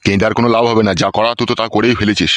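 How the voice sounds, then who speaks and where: A man's voice: lowish voice, level tone, racing, a bit bright, no room sound, lightly acted. A man playing a stern, crude older village uncle in a comic cartoon, blunt and bossy. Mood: stern, advising. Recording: music under the voice.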